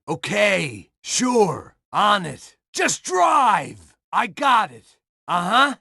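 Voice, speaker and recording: An actor playing a tough middle-aged male bodyguard, shouting urgent, impatient commands during a chase. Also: high voice, very animated, drawn-out words, creaky, no echo, studio quality, heavily acted. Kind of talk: video game combat barks.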